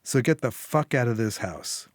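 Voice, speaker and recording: A man's voice: medium pitch, expressive, normal speed, no echo, studio quality, natural voice. Man reading as a terse, defiant young mother. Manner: terse, direct.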